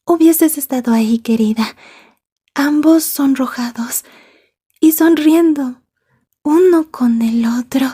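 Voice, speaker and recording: A woman playing a possessive, jealous yandere schoolgirl in an ASMR roleplay, soft and breathy, taunting and slipping into whispers. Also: sits high, slow, long pauses. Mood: jealous, menacing.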